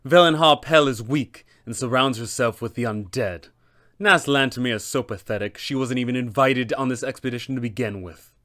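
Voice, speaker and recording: A man voicing a cold, scheming female wizard villain for a tabletop game, disdainful and measured. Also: mid-range voice, fairly bright tone, close to an everyday voice. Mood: contemptuous. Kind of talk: tabletop game character acting.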